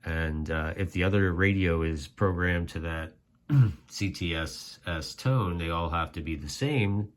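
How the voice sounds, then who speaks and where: Man, low voice, informal host explaining things conversationally, folksy asides. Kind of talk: casual explainer.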